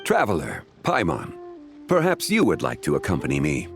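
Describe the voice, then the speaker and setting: A man playing a composed, erudite gentleman scholar in a video game, speaking in a formal, courteous, explanatory manner. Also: extremely low pitch, wildly swooping pitch, moderate pace, gravelly, music in the background, full character voice. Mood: polite, composed. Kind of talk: video game character dialogue.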